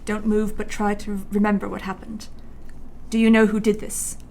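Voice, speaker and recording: A woman's voice: mid-range voice, level tone, moderate pace, creaky, a little mellow, a little hiss or hum, natural voice. A woman playing an alarmed, urgent doctor in a radio play.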